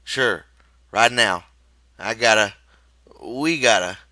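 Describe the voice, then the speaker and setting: A man's voice: lowish voice, slow pace, pushed and bright, no echo, pitched above the performer's own voice. A man playing a weary, protective ranch hand with rustic, reassuring speech in a storybook reading. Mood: strained resolve.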